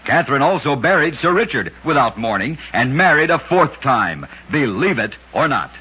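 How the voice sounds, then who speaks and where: A man narrating short, strange tales as a radio host, reading a script with dramatic, deliberate delivery on an old recording. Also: medium pitch, sing-song, noisy background. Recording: dull vintage radio recording.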